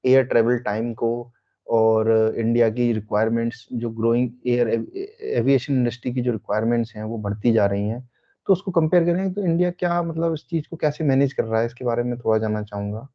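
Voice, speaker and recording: A man with an Indian accent asking interview questions in a formal, polite and deliberate way. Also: mid-range voice. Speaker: interviewer, host. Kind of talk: interview questions in Hindi mixed with English. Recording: dull, lo-fi sound.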